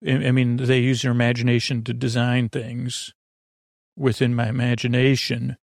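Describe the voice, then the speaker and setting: Creaky-voiced man rambling through a bedtime podcast. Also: mid-range voice, completely flat delivery, moderate pace, no echo, studio quality. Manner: meandering, hesitant, repeats and trails off mid-thought. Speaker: podcast host. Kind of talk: rambling, informal sleep podcast monologue.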